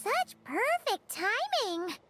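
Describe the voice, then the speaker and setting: An extremely high, squeaky little fairy from a video game, cute and naive. The delivery is excitable and curious with big swings, over noisy game sound. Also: female voice, takes it slowly, full character voice. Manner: exclamatory, curious.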